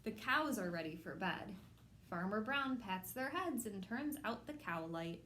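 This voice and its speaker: A woman hosting a children's storytime, reading a picture book aloud in simple, measured phrases addressed to young children. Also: medium pitch, expressive, bright, forceful tone, a little hiss or hum. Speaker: children's storytime host at a public library.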